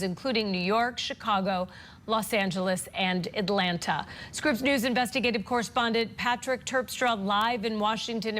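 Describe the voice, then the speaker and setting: A woman's voice: a little high, fairly bright tone, a little hiss or hum. A woman anchoring a news broadcast, introducing and questioning a reporter.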